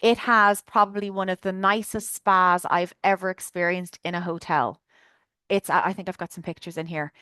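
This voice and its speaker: A woman's voice: lowish voice, fairly even intonation, moderate pace, sharp tone, clear, resonant tone, no echo. An Irish woman chattily presenting a trip on a video call webinar, enthusiastic with lots of fillers. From Ireland. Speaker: tour guide presenting a trip.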